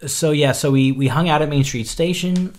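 A man's voice: a little high, moderate pace, a little mellow. A man co-hosting a casual chat, rambling with wry asides. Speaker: co-host.

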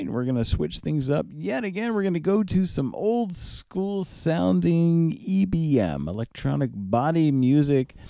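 A man hosts a music radio show in a conversational, pausing style. His pitch moves in a lively way over a gravelly, fry-edged voice. The sound is dry and close, with music playing behind him. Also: dry recording. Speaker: radio DJ and host. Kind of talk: casual music radio back-announcing. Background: background music.

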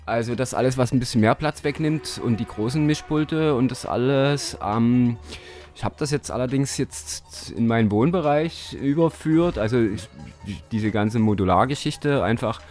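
A man's voice: medium pitch, normal speed, darkish tone, soft background music. Hesitant man chatting at home. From Dresden, Germany. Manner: hesitant, many fillers, laughs often. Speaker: interview guest, modular synth hobbyist. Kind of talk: casual interview chat. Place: his living room at home.

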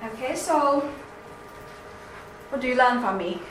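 A woman with a Chinese accent giving a seminar presentation, halting with many restarts and fillers. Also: sits high, expressive, a bit bright. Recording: echoey room, faint background noise.

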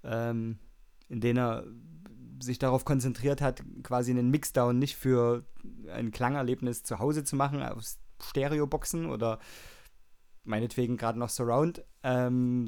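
A man's voice: medium pitch, fairly even intonation, a little slow, creaky, darkish tone. A man with a Saxon German accent hosting a music radio show, chatting loosely with many fillers. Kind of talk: casual radio presenting.

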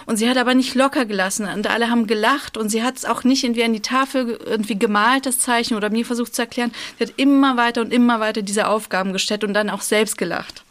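A woman's voice: fairly high pitch, steady tone, fast, few pauses, a bit bright. A young woman from Russia with Russian as her first language, chatting as an interview guest in a hesitant way with many fillers. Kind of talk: casual interview chat.

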